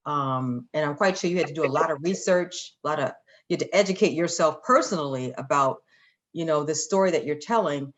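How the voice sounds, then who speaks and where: A woman's voice: low voice, a little fast. A woman hosting a casual, chatty live interview, playful and generous with praise. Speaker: radio interview host.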